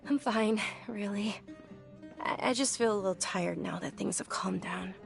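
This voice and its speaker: A woman playing a gentle, polite, frail young noblewoman in a video game. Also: medium pitch, moderate pace, gravelly, music in the background, lightly acted. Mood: weary, apologetic. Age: young adult.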